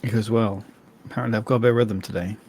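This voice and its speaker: A man's voice: fairly low pitch, steady tone, racing, a little mellow, husky, no echo. A man chatting casually as the co-host of a podcast. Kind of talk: casual chat.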